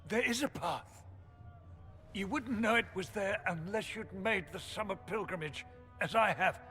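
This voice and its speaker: An actor playing a devout, earnest male church chancellor who speaks in a halting, reverent way, in a video game cutscene. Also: sits high, sharp tone, faint music behind.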